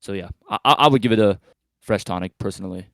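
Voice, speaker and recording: Male panelist, lowish voice, very dry sound, casual chat, rambling, tentative, self-correcting. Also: fast, fairly bright tone, no room sound. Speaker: podcast panelist.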